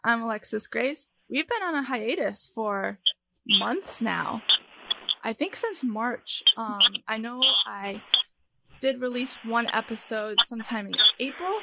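A woman's voice: fairly high pitch, vocal fry, heavy background noise. A young woman hosting her podcast in a chatty, upbeat way, introducing a guest. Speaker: podcast host.

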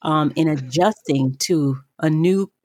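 A woman hosting a podcast, introducing the show and interviewing, with a husky voice. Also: low voice, slow pace, dark tone. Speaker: podcast host.

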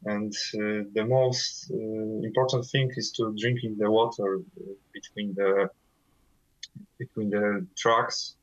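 A man's voice: on the low side, flat delivery, muffled recording. Langzame Poolse man, dof gesprek. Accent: Polish. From Poland. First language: Polish. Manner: halting, hesitant non-native English with many fillers. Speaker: guest vocalist of a death metal band. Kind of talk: interview over a call.

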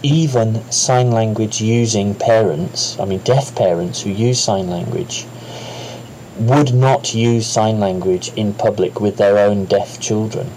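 A man giving unscripted interview answers as an expert, halting and restarting often. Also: fairly low pitch, dark tone, slight background noise, rough recording.

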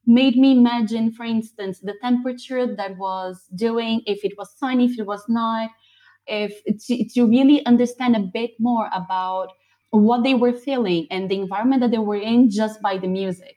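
A woman's voice: fairly high pitch, highs cut off. A woman from Brazil whose first language is Portuguese, speaking English as a guest in a conversational interview with an eager manner. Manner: eager, quick agreeing interjections.

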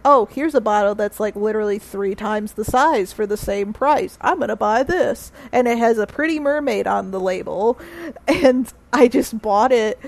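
Woman, fairly high voice, rambling storyteller, laughs a lot, casual chat. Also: creaky, darkish tone, low-quality recording. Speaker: guest co-host.

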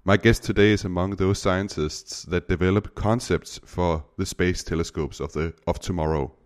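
A man's voice: low-pitched, steady tone, normal speed. A Danish man hosting an interview podcast, speaking English with many hesitant fillers. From Denmark. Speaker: podcast host.

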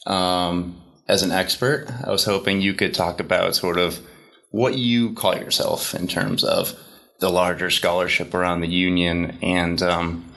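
A man's voice: low voice, little pitch movement, a little slow, gravelly, fairly bright tone. A man hosting an interview podcast, asking questions in a friendly, loose way with fillers. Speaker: podcast host.

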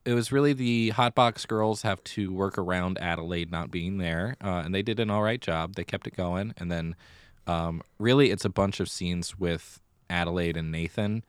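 A man's voice: low voice, moderate pace, clear, resonant tone, no echo, studio quality. A man co-hosting a casual podcast, chatting and telling stories in a theatrical way. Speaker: podcast co-host; middle school theater director. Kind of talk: casual conversational podcast chat.

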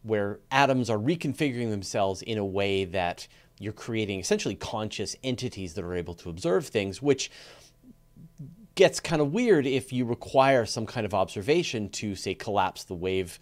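A man hosting a science interview podcast, paraphrasing ideas in a conversational, halting way as he frames questions. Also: lowish voice, animated.

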